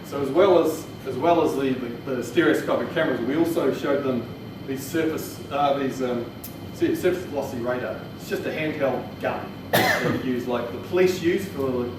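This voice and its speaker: Kiwi guy doing a relaxed-format tech training talk, really echoey room, clear background noise. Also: mid-range voice, normal speed, few pauses. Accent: New Zealand. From New Zealand. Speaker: presenter, technical trainer. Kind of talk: informal technical presentation. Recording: echoey room, distant mic, noisy.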